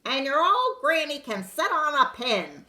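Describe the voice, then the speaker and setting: A woman's voice: high voice, sing-song, a little slow, sharp tone, pitched above the performer's own voice, exaggerated voice. Woman putting on a rude, scornful pig. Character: rude, stuck-up male pig in a brick house. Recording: roomy echo.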